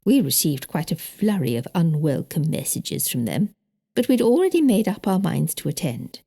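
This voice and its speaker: A woman's voice: sits low, big pitch swings, moderate pace, creaky, warm tone, slightly rough, full character voice. A woman voicing a prim, indignant older married lady with a British accent, emphatic and precise, in an audiobook. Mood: indignant, disdainful. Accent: British English. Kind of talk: audiobook character dialogue.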